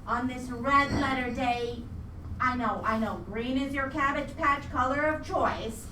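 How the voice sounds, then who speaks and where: A woman's voice: high-pitched, unhurried, pushed and bright. A woman acting a vengeful, gleefully demonic female ghost in a live radio play, with a theatrical, taunting delivery full of wordplay. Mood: gloating menace. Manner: theatrical, taunting, pun-heavy. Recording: echoey live venue, distant mic, noisy.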